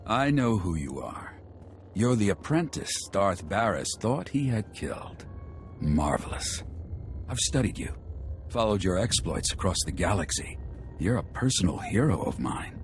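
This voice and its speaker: A man's voice: low voice, big pitch swings, unhurried, a little hiss or hum, full character voice. A man voicing a smug, chatty, deadly assassin in a video game, with a theatrical, taunting manner. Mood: amused, taunting.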